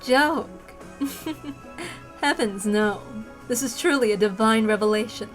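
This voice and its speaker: A woman voicing a delirious, self-deluded villainess in a visual novel, coy, exalted and deliberate. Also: a little high, a bit bright, soft background music.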